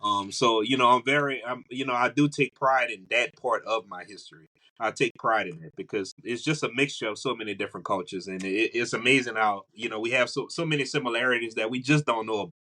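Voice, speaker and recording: A man's voice: mid-range voice, brisk, bright, forceful tone, low-quality recording. A man with a Southern US accent hosting a podcast, talking casually and repeating phrases as he builds his point. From Louisiana, USA. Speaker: podcast host. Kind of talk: casual conversational podcast talk.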